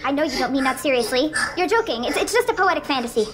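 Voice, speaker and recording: A woman as a high-voiced, anxious, meek friend. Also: expressive, fast, music in the background. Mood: earnest, disbelieving. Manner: hesitant, stammering, pleading. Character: anxious, meek, well-meaning friend, a man. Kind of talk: radio play acting. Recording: noisy track with clicks.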